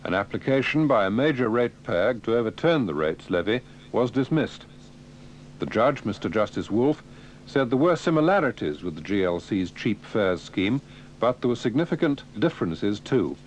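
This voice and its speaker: A man reading radio news in a dry, deadpan way. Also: lowish voice, moderate pace, muffled recording, a little hiss or hum. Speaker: newsreader.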